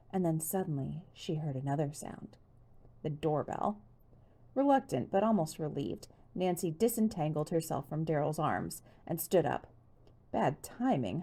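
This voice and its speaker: A woman's voice: sits low, vocal fry, warm tone, slightly rough. A woman reading a teen mystery novel aloud as scripted storybook narration. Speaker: audiobook reader.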